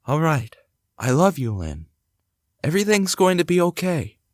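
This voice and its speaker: A man playing a devoted young husband and father in an audio drama, gentle, curious and theatrical. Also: on the high side, sing-song, normal speed, no room sound, clean studio sound. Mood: reassuring. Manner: reassuring, theatrical, thinks aloud to himself. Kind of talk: hobby audio drama acting.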